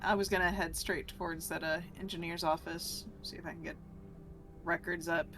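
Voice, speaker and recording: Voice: medium pitch, little pitch movement, normal speed. A tabletop game player talking casually and thinking aloud while discussing what to do next.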